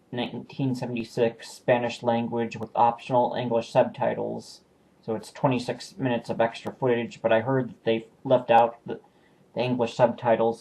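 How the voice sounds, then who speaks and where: A man talks in an easygoing, unscripted way about his collection of discs. His voice sits at an ordinary middle pitch. He pauses often and corrects himself mid-sentence. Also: creaky, dark tone, rough recording. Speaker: video collector showing new purchases. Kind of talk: casual home video review.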